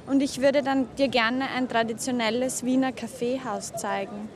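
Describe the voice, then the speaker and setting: A woman's voice: a little high, steady tone, normal speed, a crowd in the background. A young woman from Styria, Austria, chatting outdoors as a volunteer tour guide, friendly, with frequent fillers. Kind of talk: casual conversation and interview. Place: outdoors on a city square.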